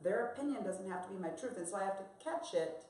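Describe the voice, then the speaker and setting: A woman's voice: mid-range voice, expressive, quick pace, a little mellow. An American woman on a live panel, talking candidly and reflectively about her own experience. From United States. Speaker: panel guest. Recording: echoey room.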